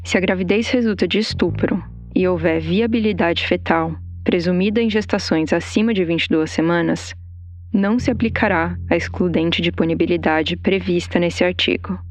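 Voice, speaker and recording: A woman with a Brazilian Portuguese accent narrating a documentary podcast in a measured, explanatory way. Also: lowish voice, soft background music. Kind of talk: scripted narration.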